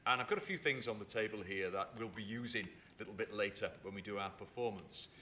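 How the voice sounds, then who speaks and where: Bright-voiced man telling stories. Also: lowish voice, fast talker, a little hiss or hum. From England. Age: 50s. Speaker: storyteller and writer. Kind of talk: reflective storytelling chat. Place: theatre.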